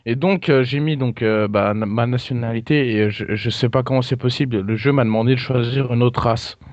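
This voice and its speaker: A man making a prank call to customer support as a customer, speaking in a halting, hesitant way with puzzled offence. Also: mid-range voice, no room sound. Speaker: prank caller posing as a customer. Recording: dull lo-fi call recording, dry.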